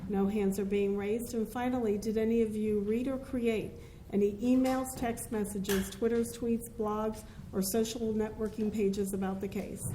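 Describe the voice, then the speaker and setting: A woman's voice: medium pitch, steady tone, normal speed, few pauses. A woman judge in a courtroom, formally and methodically putting set questions to the jury. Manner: formal, methodical instructions to jurors. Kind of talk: courtroom proceedings. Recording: echoey courtroom, faint hiss.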